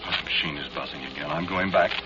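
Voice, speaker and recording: A man acting a doomed inventor in an old radio horror drama, speaking to the listener in an intimate, pleading, halting way. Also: very deep voice. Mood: haunted, regretful. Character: doomed male inventor of a time machine, haunted, pleading. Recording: muffled old radio recording with music.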